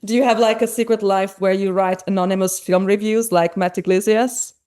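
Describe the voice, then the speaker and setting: A woman from Hungary hosts a podcast conversation in a mid-range voice. She asks her questions thoughtfully, pausing and restarting as she feels for the right words. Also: mid-range voice, steady tone, mellow, smooth. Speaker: podcast host. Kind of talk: conversational interview.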